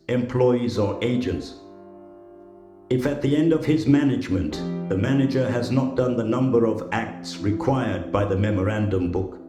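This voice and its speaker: A man's voice: medium pitch, dark tone, roomy sound, lightly acted. A man playing a grave, formal opera house manager in an audio drama, speaking solemnly and with an ominous air. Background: plucked guitar music.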